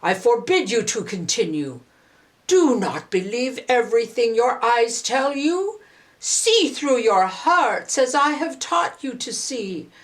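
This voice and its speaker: Storybook role: majestic male golden dragon played by a woman, stern and solemn, issuing commands at a measured, slow pace. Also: a little high, very animated. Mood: commanding.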